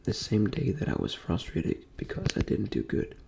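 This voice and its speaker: ASMR creator, young man from Denmark: very deep, breathy half-whisper with wide swings in pitch, clear background noise. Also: huge pitch swings, normal speed. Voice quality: breathy soft near-whisper, often unvoiced. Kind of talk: ASMR, personal motivational talk.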